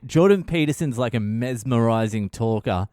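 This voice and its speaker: A male comedian hosts his own podcast and retells a story in a crude, profane way, laughing as he goes. His voice is smooth and clear. The sound is dry and close. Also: medium pitch, expressive, normal speed, darkish tone, no echo. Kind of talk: comic storytelling monologue.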